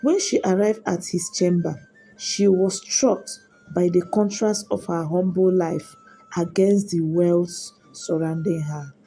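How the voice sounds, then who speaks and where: A woman narrating a scripted folktale in a measured, deliberate way. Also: on the low side, dark tone, slight background noise, low-quality recording. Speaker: storyteller. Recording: music behind the voice. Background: background music.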